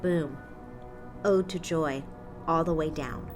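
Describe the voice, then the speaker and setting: Casting: guarded apartment tenant, low female voice, casual and terse, naturalistic podcast drama with music behind. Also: slow. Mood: intrigued. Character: casual, guarded woman tenant devoted to a mysterious hole.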